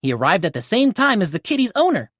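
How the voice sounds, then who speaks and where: Very animated woman reading a children's story. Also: medium pitch, fast, no room sound, clean studio sound. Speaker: storybook reader. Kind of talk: animated children's read-aloud narration.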